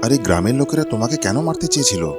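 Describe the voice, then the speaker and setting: A man's voice: mid-range voice, expressive, racing, music in the background, natural voice. A man playing a kind, protective young village husband in a fairy-tale cartoon, earnest and concerned. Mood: relieved, caring.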